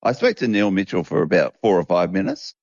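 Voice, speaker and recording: Man, low gravelly voice, halting chat, dull lo-fi recording, dry close sound. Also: wildly swooping pitch, darkish tone, no echo. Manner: halting, restarts often. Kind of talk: casual chat.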